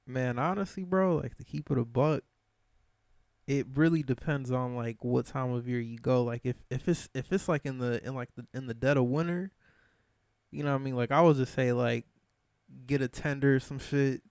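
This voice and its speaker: A man's voice: medium pitch, normal speed, a little mellow, clear, resonant tone, no echo. A man from Michigan, a podcast guest, chatting casually and loosely in a studio, full of slang and repeated fillers. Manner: slangy and loose, peppering talk with "bro" and "like". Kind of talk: casual chat.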